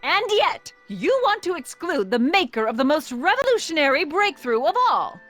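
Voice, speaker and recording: Prim, high-voiced zealous young woman. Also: very animated, moderate pace, fairly bright tone. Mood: indignant. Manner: precise, haughty, emphatic. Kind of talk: animated video game voice acting. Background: music.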